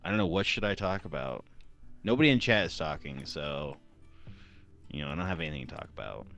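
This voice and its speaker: A young man with a deep radio-style voice chatting casually on a live stream while he narrates his design work. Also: animated, fairly quick, creaky, fairly bright tone, soft background music. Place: small room at home. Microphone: headset or desk mic at a computer.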